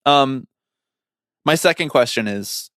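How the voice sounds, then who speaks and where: A man's voice: mid-range voice, animated, slow, fairly bright tone, dry recording, studio quality. A man co-hosting a podcast in casual banter, wry and colloquial, often prodding with questions. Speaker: podcast co-host.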